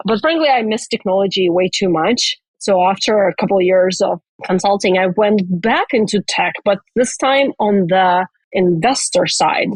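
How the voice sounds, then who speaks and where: Woman guest, earnest and thoughtful, mid pitch, dry close recording. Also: darkish tone, dry recording, poor audio. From Russia. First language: Russian. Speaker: venture capital investor, guest. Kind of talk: casual interview answers.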